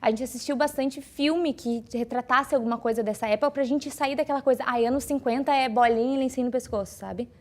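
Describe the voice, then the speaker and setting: A woman's voice: high-pitched, brisk, hardly any pauses, darkish tone. A young woman, an actor chatting informally in an interview.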